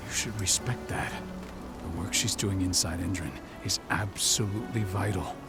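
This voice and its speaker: An actor playing a stern, steadfast older werewolf pack leader, speaking in a grave, measured way in a video game cutscene. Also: male voice, low voice, creaky, loud music behind the voice. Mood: firm, resolute.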